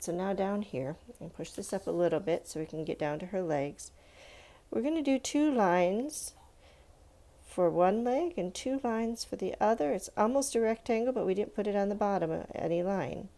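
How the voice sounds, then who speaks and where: Woman, children's art teacher: low, very mellow voice with some gravel, informal, encouraging, talks through each step. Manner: encouraging, thinking aloud, addressing young viewers with "let's" and check-ins. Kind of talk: step-by-step drawing lesson, informal and conversational.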